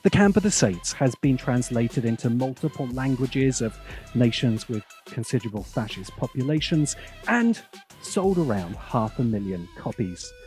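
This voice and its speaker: A man narrating a scripted video essay in a sardonic manner, with dramatic pauses. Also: mid-range voice, a little mellow.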